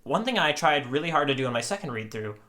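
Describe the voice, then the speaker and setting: A man's voice: on the high side, big pitch swings, fast talker, bright, forceful tone. A man co-hosting a podcast in casual, rambling, conversational chat. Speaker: podcast co-host.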